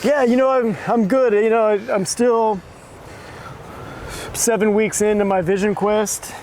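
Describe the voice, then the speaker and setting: An American man hosting a casual walking podcast outdoors, relaxed and joking, laughing often. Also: high voice, unhurried. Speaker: podcast host. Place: outdoors, windy coast and woods. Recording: outdoor recording with loud wind noise.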